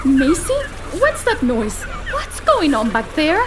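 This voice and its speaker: A very high, lively woman schoolteacher, commanding and suspicious. She speaks over clear vehicle and storm noise in a kids' horror audio drama. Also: slow pace, full character voice. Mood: harried. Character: brisk, suspicious woman schoolteacher on a bus trip.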